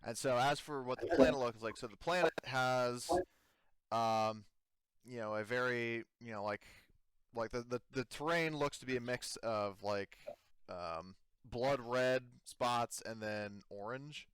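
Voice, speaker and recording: A man acting as game master of a tabletop roleplaying game, improvising his narration haltingly as he searches for words. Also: mid-range voice, fairly bright tone, clear, resonant tone, no room sound.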